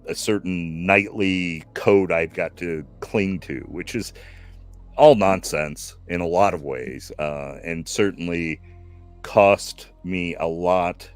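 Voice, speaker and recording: A man in his fifties hosting a casual stream chat, slow and reflective, full of fillers and restarts. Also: deep voice, faint music behind. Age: 50s. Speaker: stream host.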